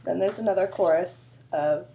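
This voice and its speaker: A woman's voice: on the low side, moderate pace, gravelly, mellow, a little hiss or hum. A woman choreographer with a sore throat, explaining a dance routine in a chatty, loose way full of fillers. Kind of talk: casual dance instruction video.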